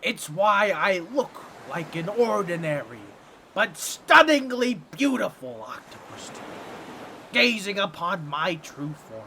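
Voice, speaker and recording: A man's voice: high voice, bright, forceful tone, a little hiss or hum, heavily acted. A man voicing a boastful, scheming octopus merman with a grating, nasal, squawky voice and a pompous, awkward manner in a comedic audio roleplay. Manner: theatrical, pompous, fumbling with awkward asides.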